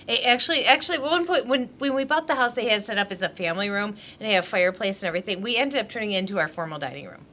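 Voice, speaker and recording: Rambling chatty woman, bright voice. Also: medium pitch, lively intonation, racing, few pauses. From Michigan, USA. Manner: rambling, chatty, laughs often. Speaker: podcast co-host. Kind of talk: casual chat. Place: at a large dining table at home.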